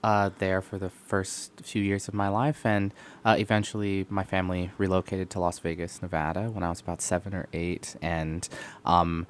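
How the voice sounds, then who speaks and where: A young American man, a violinist guest on a radio interview, relaxed, laughing often, with many "um"s. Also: deep voice, normal speed, slight background noise. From United States (Arizona). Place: radio studio.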